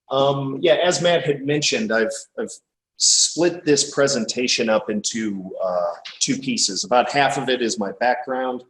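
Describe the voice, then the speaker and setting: A man in his thirties with an American Midwestern accent, giving a relaxed, informal academic seminar talk. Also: mid-range voice, slight background noise. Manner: relaxed, informal, thinking aloud. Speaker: guest lecturer. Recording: echoey room, distant mic.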